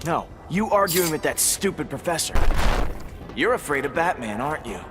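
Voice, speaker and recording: A man's voice: fairly high pitch, very animated, moderate pace. A man playing a blunt, needling ex-convict villain in a video game. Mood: mocking. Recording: game audio over music.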